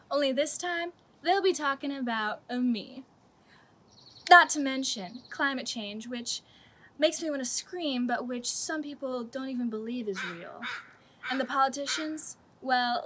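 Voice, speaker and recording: A young woman giving a self-mocking, comic graduation speech, recorded on a camera mic outdoors in a home garden. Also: a little high. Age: young adult. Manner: deadpan self-mocking humor. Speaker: senior class speaker.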